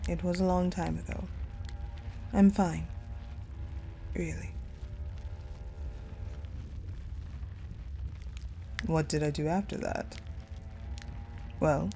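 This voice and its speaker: Actress as cold, possessive female general for intimate audio drama: dark mellow tone, unhurried, deliberate, hushed delivery. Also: low-pitched. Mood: tender, reassuring. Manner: slow, hushed, deliberate storytelling with pauses. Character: cold, possessive ice general confiding in her captive beloved. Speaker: voice actor in audio roleplay. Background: music.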